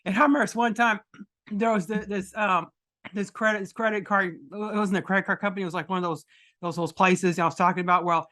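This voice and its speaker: Deep-voiced woman, bright and chatty. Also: steady tone, quick pace. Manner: chatty, rambling storyteller with many restarts. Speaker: podcast host. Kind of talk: casual podcast chat.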